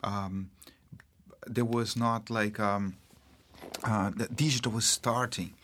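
A man from Brazil with a Brazilian accent, a marketing executive speaking as an interview guest in a halting way, full of fillers and restarts. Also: fairly low pitch, fairly even intonation, fairly bright tone, a little hiss or hum. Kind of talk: casual interview talk.

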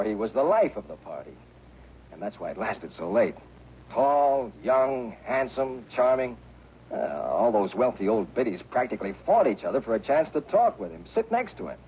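A man's voice: medium pitch, lightly acted. An actor playing a harried, chatty American insurance company man in a 1960s radio drama, agitated and exasperated as he talks. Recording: muffled, hissy old radio broadcast.

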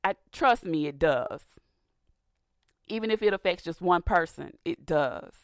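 Raspy woman radio host, gravelly low voice. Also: animated, unhurried, dry recording, clean studio sound. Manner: earnest, rising to a preacher's fervor. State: sinus trouble, slightly hoarse. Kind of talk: candid faith-based radio talk. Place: radio studio.

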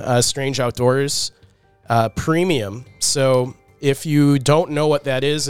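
A man's voice: medium pitch, smooth, dry recording. A man co-hosting a podcast in casual chat, a little congested from a cold, talking loosely with many fillers. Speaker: podcast co-host.

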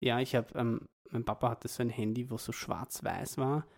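Casual podcast host, young adult, Austrian accent, creaky mid-range voice, loose rambling delivery. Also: mid-range voice, fairly even intonation, normal speed, a little mellow.

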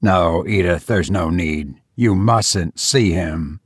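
A man's voice: low voice, slow, slightly rough, no room sound, clean studio sound, voice pushed down, full character voice. A man playing a grim, grieving doctor and father in a story reading, weary and pleading with a groan in his voice.

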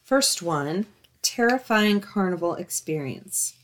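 A woman's voice: fairly low pitch, lively intonation. Reactive woman in casual chat. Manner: reactive, quick gasps and exclamations. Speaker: co-host.

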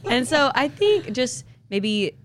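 A woman's voice: high-pitched, very animated, slow pace, a crowd in the background. A woman in her twenties hosting a podcast, chatting casually and giggling often with lots of filler words. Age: 20s. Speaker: podcast host. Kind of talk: casual chat.

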